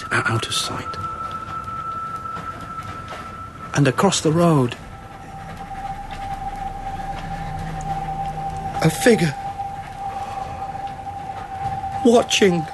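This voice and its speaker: A man's voice: medium pitch, sing-song, brisk, natural voice. A man playing a shaken, stubborn police inspector in a radio play, tense and clipped, with a British accent. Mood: entranced. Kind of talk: radio drama acting. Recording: music under the dialogue.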